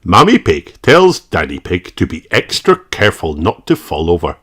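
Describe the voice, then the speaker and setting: An adult reader narrating a children's storybook in an expressive, deliberate way. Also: low-pitched, noisy background. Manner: expressive, deliberate read-aloud delivery.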